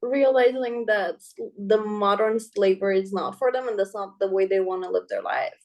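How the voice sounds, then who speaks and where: A woman co-hosting a podcast, speaking in an emphatic, heartfelt way with quick asides and a frequent "right?". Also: mid-range voice. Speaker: podcast co-host. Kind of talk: casual conversation.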